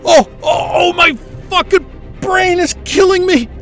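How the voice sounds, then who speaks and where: A high-voiced male astrophysicist acted by a man in a science-fiction audio drama, distressed and bewildered. He drags out his words slowly, stammering and swearing in anger. Faint music runs underneath. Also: fairly bright tone. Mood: panicked. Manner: gasping, stammering, profane.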